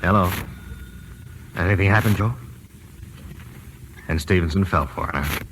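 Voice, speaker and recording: Low-voiced, clipped investigator. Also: male voice, moderate pace, fairly bright tone. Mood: businesslike. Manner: clipped, matter-of-fact. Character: wry, brisk government investigator husband. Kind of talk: old-time radio drama acting. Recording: noisy old radio recording.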